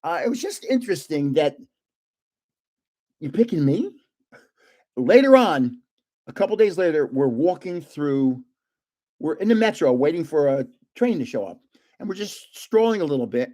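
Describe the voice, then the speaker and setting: Grandfatherly stream host, 70s, animated storyteller with a booming laugh-filled delivery and lively pitch. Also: moderate pace, long pauses. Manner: animated, laughs often, rambling anecdotes; self-described booming voice when yelling. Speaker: livestream host opening trading cards. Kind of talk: casual storytelling chat.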